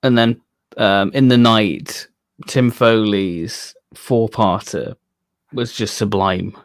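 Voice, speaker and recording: A man's voice: on the low side, fairly even intonation, takes it slowly, no room sound, clean studio sound. A man co-hosting a fan discussion show in a casual, conversational way. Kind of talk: casual chat.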